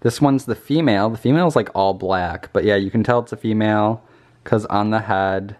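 A man's voice: on the low side, brisk, darkish tone. A young man talking casually to a handheld camera at arm's length in a small room at home, upbeat and chatty with lots of "like". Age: young adult. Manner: upbeat, chatty, says "like" often. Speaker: vlogger. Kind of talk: casual vlog chat.

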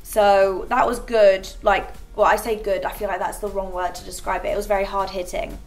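A woman's voice: mid-range voice, little pitch movement, moderate pace. Brief: young woman, beauty vlogger, chatty and rambling, light music under the voice. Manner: chatty, filler-heavy with frequent "like". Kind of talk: casual chatty get-ready-with-me vlog. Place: bright living room set.

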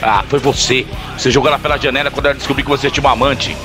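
A man's voice: mid-range voice, fairly even intonation, very fast, over loud music, natural voice. An actor playing a young man, a defiant and distraught son in an audio drama, pleading and accusing.

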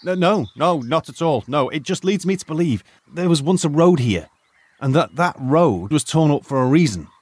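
An actor with a British accent playing a polite, sardonic retired archaeologist in an audio drama, hesitant and curious. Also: male voice, on the high side, expressive, normal speed, a little mellow, no echo. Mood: polite, persistent. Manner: muttering musings, hesitant, polite. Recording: faint ambient noise behind.

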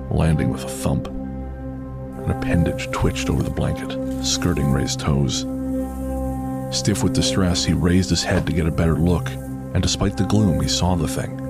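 A man narrating a horror audio drama in a measured, literary storytelling manner. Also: rumbling low, creaky. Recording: loud music under the voice. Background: music.

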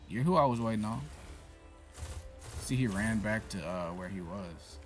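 A man giving casual, profanity-laced commentary while playing a shooter game, reacting loudly to what happens on screen. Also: on the low side, normal speed, music in the background. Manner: swears freely, reacts loudly to in-game events. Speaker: gameplay commentator.

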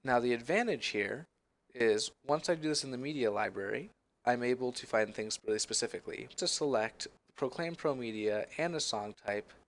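A young man presenting a screen-recorded software tutorial, explaining each step in a friendly, conversational way. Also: mid-range voice, animated, moderate pace.

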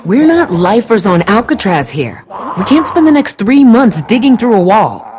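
An actress playing a no-nonsense, practical survivor in a zombie video game, curt and direct. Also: female voice, a little high, big pitch swings, moderate pace, noisy background. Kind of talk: dramatic video game acting.

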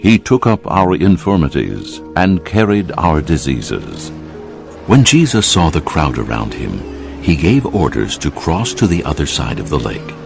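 A man giving scripted narration for a dramatised audio Bible in a measured, solemn storytelling manner. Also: very deep voice, sing-song, creaky, music in the background.

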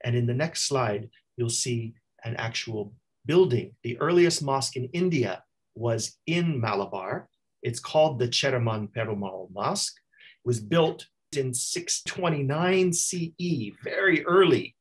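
A man's voice: mid-range voice, animated, unhurried, husky. A man giving an explanatory academic slide presentation over a video call.

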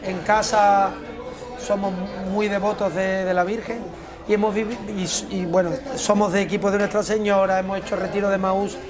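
A man in his fifties, a former footballer, talking in an unhurried, reflective way over lunch in a restaurant and often repeating words as he searches for them. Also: high voice, little pitch movement, crowd noise. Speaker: interview guest, former footballer. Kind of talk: relaxed conversation over a meal. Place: restaurant by large windows.

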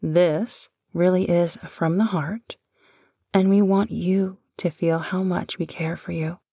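A woman with a lowish, breathy, husky voice speaks slowly and gently into a close microphone. She sounds affectionate and dryly amused. The recording is dry and intimate. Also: steady tone, no room sound. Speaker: voice actor. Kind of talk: intimate audio roleplay.

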